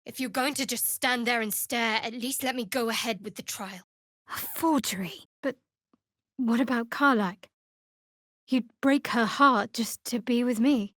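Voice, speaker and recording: A young woman cleric of a dark goddess for a fantasy video game. Her voice sits a little high, and her delivery is guarded, wry and sardonic. The recording is very dry, with no room sound. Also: dry recording. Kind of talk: dramatic video game voice acting. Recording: very dry close studio recording.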